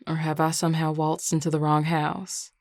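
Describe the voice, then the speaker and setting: A woman's voice: low-pitched, little pitch movement, moderate pace, no echo. A woman acting a flirtatious, teasing Southern werewolf in an audio roleplay, with a Southern US accent and a colloquial drawl. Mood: playful, flirty.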